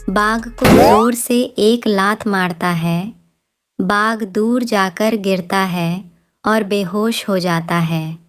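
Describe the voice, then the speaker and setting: A woman's voice: mid-range voice, no room sound. A woman narrating a scripted children's story as the storyteller. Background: plucked string music.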